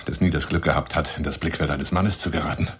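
A man's voice: very deep voice, steady tone, quick pace, husky, heavily acted. A man playing a boastful, touchy lion hunter in a radio play monologue, speaking in a wheedling, pompous manner. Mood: condescending, needling. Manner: wheedling, pompous, swinging into whispers. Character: boastful, touchy male lion hunter telling tall tales.

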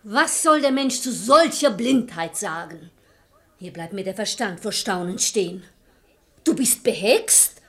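Casting: clever wife, medium pitch, poised and persuasive classical verse delivery. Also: female voice, expressive, measured pace, a bit bright. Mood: exasperated. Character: shrewd, composed wife, poised lady of the house. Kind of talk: classic verse comedy radio play acting.

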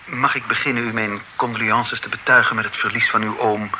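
Actor as polite young suitor, old radio play: mid voice, formal, stammers when flustered, hissy recording. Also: male voice, on the low side, little pitch movement, a little fast, natural voice. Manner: courteous, stammers when moved. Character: earnest young male suitor, courteous and hesitant. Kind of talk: old radio drama acting.

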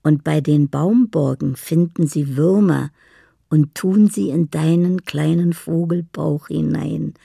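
An actress playing a dreamy older woman lost in poetic fantasy and memories in a radio drama, speaking slowly and haltingly. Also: low voice, vocal fry, dark tone, breathy edge, no room sound, studio quality, full character voice. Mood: dreamy, lyrical. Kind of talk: radio drama monologue, reading out love letters.

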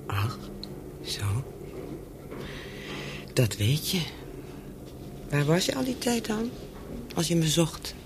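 A woman's voice: sits low, big pitch swings, slow pace, long pauses, noisy background, exaggerated voice. An actress in a radio play as a worldly, flirtatious Parisian woman of the night, teasing and nonchalant, with a wry edge. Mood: wry, softer.

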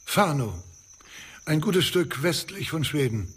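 A man's voice: fairly high pitch, lively intonation, takes it slowly, a bit bright. A dry, patronising male researcher. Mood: explanatory, calm. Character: measured, condescending male researcher on remote island. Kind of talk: German radio drama acting. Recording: background noise, chirping crickets.

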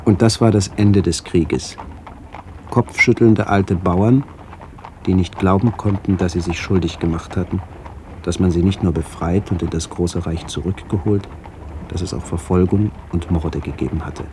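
A middle-aged man reading a literary memoir for radio in a measured, sober way, with a gravelly voice. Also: low-pitched, steady tone, background noise. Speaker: author-narrator of a memoir radio play. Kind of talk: scripted literary narration, reminiscence.

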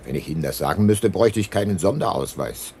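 An actor playing a dogged, wry private detective talking in a dry, matter-of-fact way in a crime radio drama. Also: male voice, sits low, expressive, fast talker, vocal fry, darkish tone. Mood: brusque, assured. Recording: noisy background ambience.

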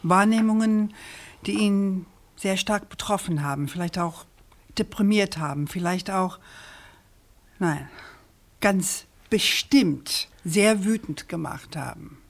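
An actress playing a persistent, sceptical journalist in a radio crime drama, speaking in a probing, deliberate and emphatic way. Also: female voice, medium pitch. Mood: pensive, emphatic. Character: persistent, sceptical female journalist questioning a prosecutor.

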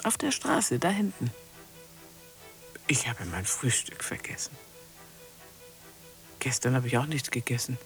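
A woman's voice: extremely low pitch, very animated, soft background music, heavily acted. An actress playing a lonely spinster in her forties, murmuring terse fragments to herself in a radio drama. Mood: wistful, withdrawn. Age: 40s. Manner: halting, terse fragments, murmured self-talk. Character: lonely spinster of forty-four, brooding over small routines. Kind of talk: radio drama interior monologue.

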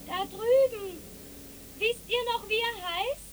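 A woman's voice: very high-pitched, takes it slowly, slight background noise, poor audio. A woman playing an eager, curious child in a literary radio play.

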